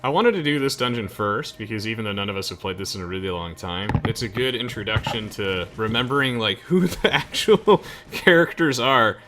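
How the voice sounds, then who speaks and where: Bright-voiced young man hosting a playthrough. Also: lowish voice, lively intonation, fairly quick, hardly any pauses, soft background music. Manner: enthusiastic, chatty. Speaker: host of a let's play. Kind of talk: casual game commentary.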